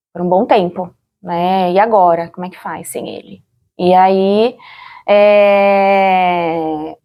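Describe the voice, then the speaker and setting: A woman with a Brazilian accent and a mid-pitched voice speaks as an interview guest. She recounts things reflectively, pausing to find the right word and adding small tags that seek agreement. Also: a little mellow. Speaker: interviewee, former radio drama actor. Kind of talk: interview, personal recollection.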